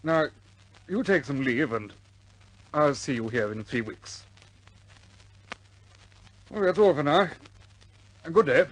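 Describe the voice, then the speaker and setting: An actor playing a courteous but firm senior RAF commander in a 1950s British war film, formal and measured with pauses. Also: male voice, fairly high pitch, expressive, poor audio. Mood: kindly, formal.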